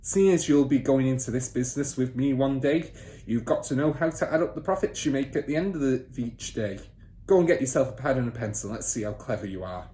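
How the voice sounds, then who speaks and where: A man voicing a boastful, bullying father and crooked car dealer in a children's storybook, loud, pompous and emphatic. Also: mid-range voice, brisk, natural voice. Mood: boastful, brash. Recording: roomy echo, faint background noise.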